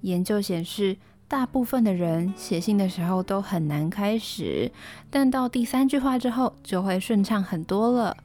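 A woman's voice: mid-range voice, moderate pace, warm tone, faint music behind, natural voice. Woman playing a composed, bookish young dorm mate. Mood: encouraging. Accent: Taiwanese Mandarin. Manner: matter-of-fact, reassuring, know-it-all. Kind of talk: podcast radio drama acting.

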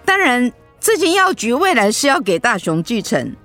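A woman's voice: on the high side, very animated, normal speed, a bit bright, soft background music. A woman playing an elderly, firm but generous mother-in-law in a radio drama, speaking plainly. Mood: indignant scolding. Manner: scolding, plain-spoken.

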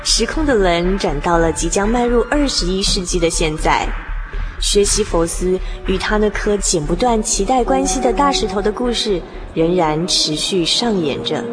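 Lady storyteller, mid pitch, dramatic scripted narration w music under. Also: lively intonation, normal speed. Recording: music behind.

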